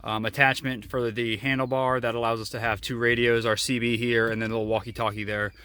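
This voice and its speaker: A man's voice: medium pitch, level tone, fast, bright, forceful tone, a little hiss or hum. A man hosting a casual how-to video, explaining step by step as he demonstrates. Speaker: host of a DIY vehicle video.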